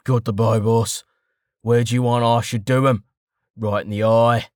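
A man voicing a rough-voiced, dim and bloodthirsty bandit henchman in a fantasy audiobook. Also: mid-range voice, level tone, measured pace, darkish tone, no room sound, studio quality, lightly acted. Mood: eager.

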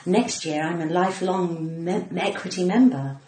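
A woman's voice: on the low side, normal speed, warm tone. A middle-aged woman with a cut-glass English accent, chatting casually and theatrically as she tells anecdotes in an interview. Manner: flamboyant, theatrical; giggly. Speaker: comedian and actor being interviewed. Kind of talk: casual chat, personal anecdotes. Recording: roomy echo, clear background noise.

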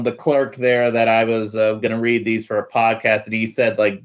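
A man chatting casually as a podcast guest, halting and rambling as he corrects himself. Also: lowish voice, a bit bright, dull audio. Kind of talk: casual chat about comic books.

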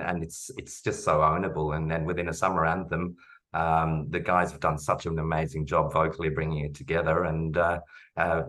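A man with a very deep, low-pitched voice talks eagerly as an interview guest. He often restarts his sentences. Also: a bit bright. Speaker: jingle writer, guest. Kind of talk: casual interview chat.

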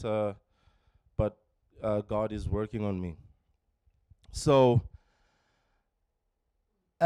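Low-voiced man giving a halting testimony. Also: a little mellow, clear, resonant tone, no echo, clean studio sound. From Kenya. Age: 40s. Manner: halting, many fillers and restarts, emotional. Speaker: church member giving testimony. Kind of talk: personal testimony. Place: church. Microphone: handheld microphone.

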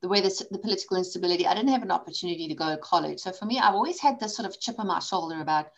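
A woman in her forties from South Africa, a guest in a conversational interview, telling her story candidly with quick asides. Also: fairly low pitch, creaky, highs cut off. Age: 40s. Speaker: podcast guest, coach and author.

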